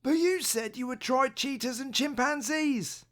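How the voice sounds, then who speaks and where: Storybook older brother, played by a woman: young boy, unhurried, high-voiced, reasonable, gently coaxing. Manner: calm, coaxing, explaining. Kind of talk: children's storybook read aloud.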